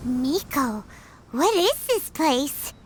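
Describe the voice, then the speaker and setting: A woman voicing a tiny, timid, excitable fairy girl in a video game, with a squeaky childlike voice and exaggerated reactions. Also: drawn-out words, slight background noise, exaggerated voice. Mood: uneasy. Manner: third-person self-reference, exaggerated reactions. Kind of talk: cartoonish video game voice acting. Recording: studio voice with game music behind.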